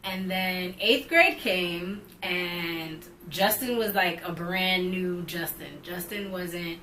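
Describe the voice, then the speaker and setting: A woman's voice: fairly low pitch, slow, a little hiss or hum, rough recording. A young woman co-hosting a couple's vlog, telling a storytime casually and animatedly, with lots of "like". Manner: animated, heavy use of "like", rising excitement. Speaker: vlog co-host.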